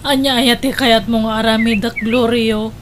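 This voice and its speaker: Actress as remorseful young wife, high voice, tearful pleading, radio drama, faint background noise. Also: female voice, flat delivery, normal speed, fairly bright tone, close to an everyday voice. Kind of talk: Ilocano radio drama acting.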